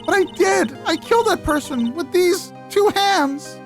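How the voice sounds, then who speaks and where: A woman's voice: very high-pitched, animated, a little slow, soft background music, voice pushed up. A woman voicing a distraught, guilt-ridden teenage girl, a fragile spirit medium in a video game, earnest and emotional. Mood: desperate, pleading.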